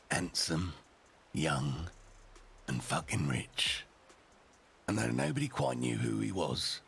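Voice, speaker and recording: A low, gravel-edged male storyteller , a character a man puts on for roleplay, rough and ready and fond of swearing. He narrates like someone reading a grim storybook, deliberate and theatrical, lingering on his pauses. Faint ambient noise sits behind the voice. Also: fairly bright tone, heavily acted.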